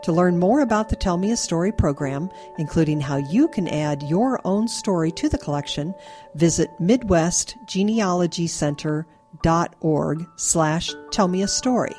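A woman reading a scripted program announcement in a smooth, even way. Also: fairly low pitch, normal speed, faint music behind.

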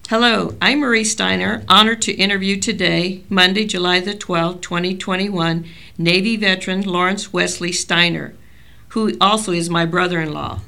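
A woman leads an oral history interview in a bright, forceful voice with a gravelly edge. She reads her introduction formally and asks her questions plainly. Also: fairly low pitch, steady tone, normal speed. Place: library interview room.

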